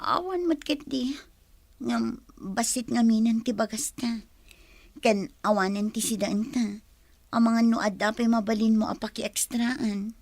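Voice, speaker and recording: A woman's voice: on the high side, a little slow, pauses often, a little mellow, no echo. A woman acting a long-suffering, pleading young mother in an Ilocano radio drama, with a Filipino Ilocano accent. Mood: weary, worried.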